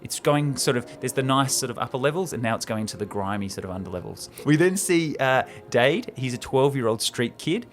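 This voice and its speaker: A man's voice: medium pitch, lively intonation, a little fast, hardly any pauses, faint music behind. A man co-hosting a show, retelling a film script in an informal, enthusiastic, chatty way. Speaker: podcast co-host.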